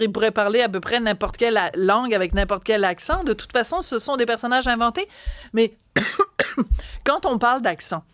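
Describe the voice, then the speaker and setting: A woman with a Québécois French accent co-hosting a talk segment, telling a story in a chatty, animated way with many restarts. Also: medium pitch, no room sound. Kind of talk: casual chat on a radio and TV show.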